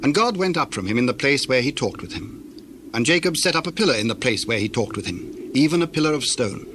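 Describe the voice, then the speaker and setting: A male narrator reads scripture formally, in a measured storytelling way. His voice sits at a middle pitch, with faint noise and ambience behind it. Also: animated.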